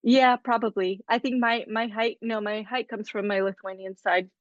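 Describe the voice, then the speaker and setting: A woman's voice: mid-range voice, steady tone, normal speed, no room sound, highs cut off. A woman guest on a podcast, chatting casually and telling stories, laughing often. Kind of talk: casual chat.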